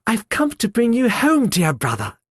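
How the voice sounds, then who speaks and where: A man's voice: wildly swooping pitch, normal speed, slightly rough, dry recording, clean studio sound, exaggerated voice. A man voicing a gleeful little girl. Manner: bright, breathless, high-pitched chatter. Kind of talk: audiobook character voice.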